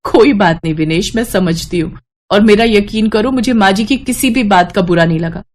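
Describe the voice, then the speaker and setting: A woman's voice: mid-range voice, moderate pace, lightly acted. Woman playing a kind, patient young daughter-in-law. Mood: gently reassuring. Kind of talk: Hindi animated moral-story voice acting.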